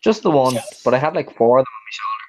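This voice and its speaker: A man's voice: medium pitch, expressive, fast, slight background noise. A man with a Dublin accent co-hosting a podcast in casual, sweary, self-mocking chat. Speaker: podcast co-host.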